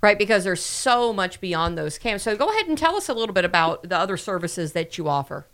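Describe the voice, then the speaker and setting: A woman's voice: mid-range voice, big pitch swings, fairly quick, a bit bright. A middle-aged woman hosting a casual radio interview, chatty and full of repeated words and fillers. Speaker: host.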